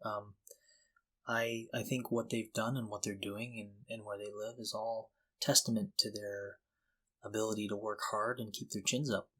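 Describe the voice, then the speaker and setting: A man co-hosting a podcast, talking casually and explaining things in an informal, halting way. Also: fairly low pitch, little pitch movement, a bit bright, husky, poor audio. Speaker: podcast co-host.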